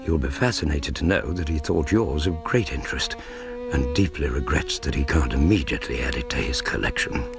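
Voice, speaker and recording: An actor playing a genial gentleman correspondent, narrating a letter in a radio drama with formal, measured, wry delivery. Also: a bass voice, gravelly. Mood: wry, affectionate. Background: music.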